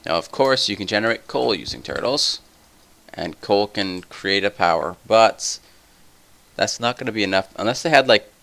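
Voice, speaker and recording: A tired young man with a somewhat deep voice, rambling through casual gameplay commentary full of tangents. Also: expressive, moderate pace, creaky, a bit bright. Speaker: let's play host.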